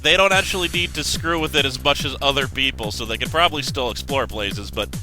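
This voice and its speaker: A man's voice: medium pitch, a little fast, over music. A young man with a congested voice, casually rambling through a game news roundup with self-corrections and joking asides. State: congested, just woke up. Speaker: host giving game news. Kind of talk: casual commentary.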